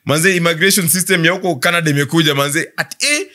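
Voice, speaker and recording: Brief: young Kenyan guy, quick, bright, storyteller on a studio sofa mic. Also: fairly high pitch. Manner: animated storyteller, mimics others. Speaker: podcast co-host. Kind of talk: casual chat in Sheng and English. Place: studio living-room set, indoors. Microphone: boom mic on stand.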